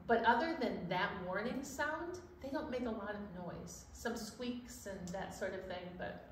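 A woman's voice: medium pitch, measured pace. Middle aged lady doing kids storytime host talk, mic far off, bit of noise behind her. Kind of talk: children's storytime chat. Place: indoor storytime set at a library. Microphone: distant camera microphone. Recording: roomy, distant mic, steady background noise.

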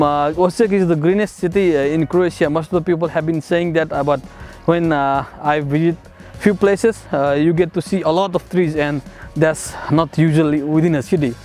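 Hesitant young man from Nepal. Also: fairly high pitch. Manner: hesitant, many "uh" fillers, soft chuckles. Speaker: master's student. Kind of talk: casual testimonial interview. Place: outdoors on a city riverside path and in a bright building.

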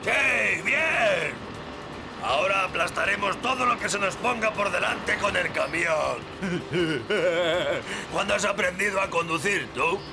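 A man voicing a rowdy, destructive cartoon troll henchman with a gravelly voice. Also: high-pitched, lively intonation, slow pace, long pauses, over music, full character voice. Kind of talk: cartoon dub acting.

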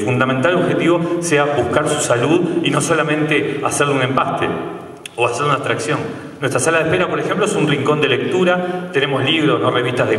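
A man's voice: medium pitch, fairly bright tone. A man in his thirties with a Uruguayan Spanish accent, a dentist giving a conversational stage talk with frequent hesitations. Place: large theatre stage. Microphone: handheld mic on a stand, filmed from far off. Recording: roomy hall sound from a distant camera.

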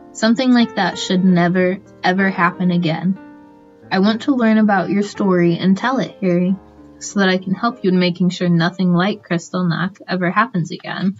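A woman as an earnest, lower-voiced interviewer. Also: lightly acted. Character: earnest, sympathetic young interviewer. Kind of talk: student radio play acting. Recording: slightly dull recording, music behind.

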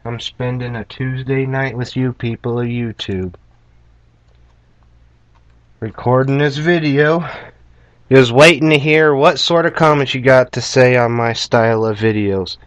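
A man's voice: medium pitch, steady tone, unhurried, long pauses, dry recording. A man giving casual, rambling gameplay commentary with crude language, recorded indoors in a small trailer on a camera aimed at the screen. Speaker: gamer, video host.

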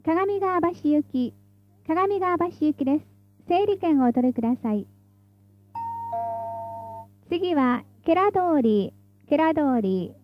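A woman's voice: high-pitched, expressive, takes it slowly, pauses often, highs cut off, faint music behind. A woman reading scripted tram announcements in a formal, polite, carefully enunciated way, as the stop announcer.

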